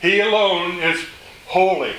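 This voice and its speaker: An older man preaching a sermon, reading scripture aloud with emphatic, drawn-out phrasing and a loud voice. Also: high-pitched, poor audio. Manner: slow, emphatic delivery, rises when quoting scripture.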